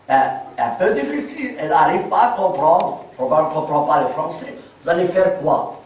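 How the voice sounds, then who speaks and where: A man in his sixties from Palestine gives a talk to a live audience, walking them through examples and checking that they follow. His voice sits high for a man. He sounds distant from the microphone, and the room adds heavy echo. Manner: explanatory, asks listeners for agreement. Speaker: speaker at a public talk. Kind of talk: lecture with audience questions. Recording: echoey room, speaker far from the mic.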